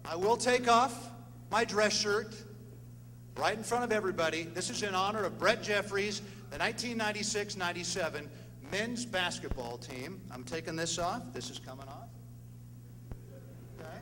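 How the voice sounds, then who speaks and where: A man's voice: sits high, fairly bright tone. A middle-aged man giving an earnest, emphatic ceremony speech in short punchy phrases as a presenter. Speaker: presenter at an athletics hall of fame ceremony. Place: indoor ceremony hall with long reverb. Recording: echoey hall, noisy hum.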